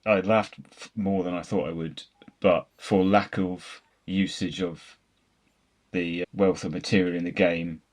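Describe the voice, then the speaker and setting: A British man with a deep voice talks casually about films. He sounds like a panellist chatting among friends. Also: fairly even intonation, measured pace. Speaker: film review panellist. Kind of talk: casual film discussion.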